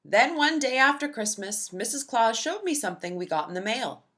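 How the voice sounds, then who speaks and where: A woman reading a children's picture book aloud in an expressive, emphatic storytelling manner. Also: on the high side, normal speed.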